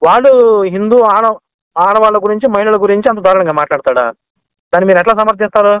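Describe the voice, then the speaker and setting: Man, very fast talker, furious and combative, phone debate, dull dry call audio. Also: high-pitched, smooth, dry recording. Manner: angry, aggressive, abusive, interrupting. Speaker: caller in a heated phone debate. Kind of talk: heated argument on a phone call.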